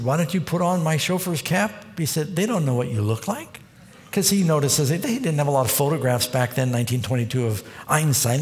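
A man preaching a sermon in a fairly high voice, the sound ringing out in a long hall reverb. He tells stories in a folksy, conversational way, breaking into acted-out dialogue and quoting scripture. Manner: folksy storytelling, quotes scripture, acts out dialogue. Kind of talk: sermon with storytelling.

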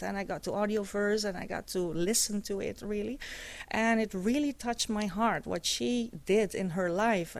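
A woman's voice: fairly high pitch, no room sound. A middle-aged woman from Curacao, a native Dutch speaker, telling a personal testimony in a conversational way with many fillers.

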